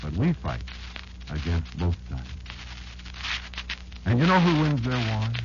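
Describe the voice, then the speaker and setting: An actor playing a tiny, embittered insect elder who patiently instructs a child in a dramatic, halting radio-play monologue. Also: male voice, lowish voice, huge pitch swings, heavily acted. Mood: grim, confiding. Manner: dramatic, halting, deliberate storytelling with emphatic swings. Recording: muffled, very noisy old radio recording.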